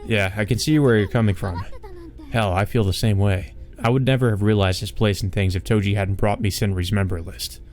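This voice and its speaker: A man's voice: on the low side, steady tone, brisk, a little mellow, lightly acted. A man playing a confident, wry, tough male investigator from a visual novel in a casual, assertive manner.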